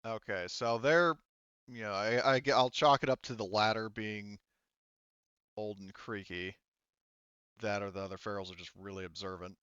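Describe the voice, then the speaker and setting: A man acting as game master in a tabletop roleplaying game, explaining things in a casual, halting way. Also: fairly low pitch, steady tone, fairly bright tone, clean tone, dry recording, lo-fi sound.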